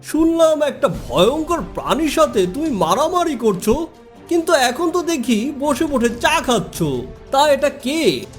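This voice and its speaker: A woman's voice: sits high, lively intonation, unhurried, soft background music. A woman voicing huge cartoon monsters, grumbling, exclaiming and easily outraged. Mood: surprised, chummy. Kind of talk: cartoon dialogue.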